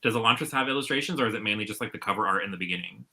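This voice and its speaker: A man's voice: on the low side, very fast, bright, forceful tone. A man hosting a casual book chat, with a jokey, self-deprecating way of talking. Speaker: host of a book channel.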